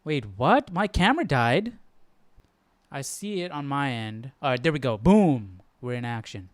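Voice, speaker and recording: A man's voice: mid-range voice, animated, a little slow, dry recording. A man hosting a live Bible study, commenting casually and thinking aloud, often checking himself with a questioning tag. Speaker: host.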